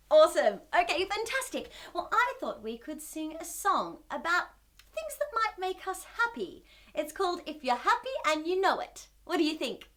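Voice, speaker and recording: High pitched lady doing kids storytime, super animated, lots of wonder n questions. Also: normal speed. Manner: animated, exaggerated wonder, gasps, asks listeners questions. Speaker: children's storytime host. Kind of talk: children's read-aloud and singalong.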